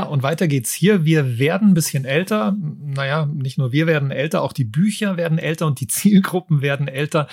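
Slightly husky man reviewing books. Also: medium pitch, a little mellow, dry recording, studio quality. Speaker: book podcast host. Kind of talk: book review talk, partly scripted.

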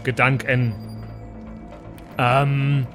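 A man's voice: mid-range voice, level tone, extremely slow, a bit bright. A man improvising in-character role-play and table talk as a player, informal and prone to stumbling over his words. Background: background music.

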